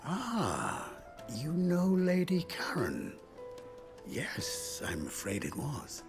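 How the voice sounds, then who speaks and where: A man's voice: a little high, wildly swooping pitch, extremely slow, gravelly, music in the background, full character voice. A man playing a deferential, patient manservant in a video game, speaking with formal, obliging eloquence. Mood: courteous, obliging. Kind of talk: video game cutscene acting.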